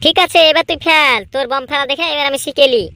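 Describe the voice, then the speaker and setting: A woman performs an idle, boastful young village lad for a comedy cartoon. The voice is fairly high, bright and quick, with an argumentative, bantering delivery. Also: fairly even intonation, dry recording. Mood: boastful, squabbling. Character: cocky, idle young male village club thugs. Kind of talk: exaggerated comedy cartoon dialogue.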